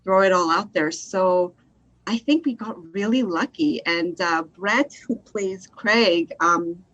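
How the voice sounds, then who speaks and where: A woman's voice: fairly low pitch, takes it slowly, a bit bright, muffled recording. A woman filmmaker giving casual, conversational answers as an interview guest.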